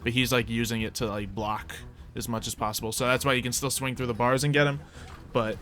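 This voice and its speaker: A man's voice: mid-range voice, little pitch movement, a little fast, pushed and bright, soft background music. A man acting as game master, explaining and narrating a tabletop roleplay game in a casual, conversational way.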